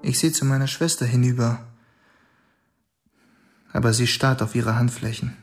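A man playing a proud, inventive schoolboy in a measured, introspective radio drama monologue. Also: medium pitch, steady tone, close to an everyday voice. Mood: proud, brooding. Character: proud, restless schoolboy, inventive older brother, solitary. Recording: clear music behind the voice.

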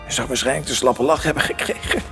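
An actor playing an anxious, wry middle-aged former minister in a radio drama, fretful and sardonic. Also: male voice, mid-range voice, fast, over music, lightly acted. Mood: wry, uneasy.

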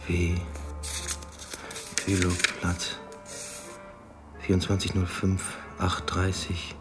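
Radio drama detective: low, slow, suspicious, thinking aloud in fragments. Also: male voice, full character voice. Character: brooding, suspicious male police detective investigating a colleague. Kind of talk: German radio drama acting with interior monologue.

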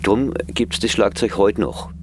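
An actor playing a resigned, joking band musician in casual, laconic radio play dialogue. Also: male voice, fairly low pitch, a little slow, noisy background. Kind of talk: naturalistic radio drama dialogue.

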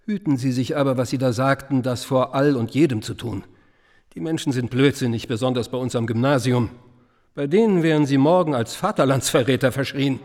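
An actor in a German radio play voicing a cautious, conformist schoolteacher who talks in a measured, formal, confiding way. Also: male voice, medium pitch, darkish tone, long reverb tail, lightly acted. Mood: wary, confiding. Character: Krug, cautious conformist grammar-school teacher.

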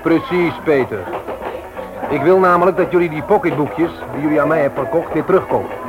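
A man's voice: fairly high pitch, fairly quick, lightly acted. An actor playing a stern, sarcastic man in a radio drama, talking down to young people in a firm, condescending way. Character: stern, sarcastic man scolding his young brother-in-law. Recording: dull old radio sound. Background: loud music and crowd chatter.

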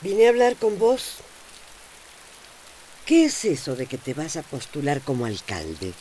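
An older woman forever finding fault. Her delivery is scolding and expressive, rising and dropping sharply, in a radio novela with faint noise behind. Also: deep voice, measured pace, exaggerated voice. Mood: confronting. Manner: complaining, reproachful. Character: grumpy, scolding older mother of grown son. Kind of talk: radio drama acting.

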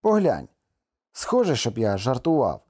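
A man's voice: mid-range voice, wildly swooping pitch, a little slow, a little mellow, breathy edge, full character voice. A man voicing a brooding husband from a storybook, stern and desperate, with an emphatic delivery. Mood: urgent, persuasive.

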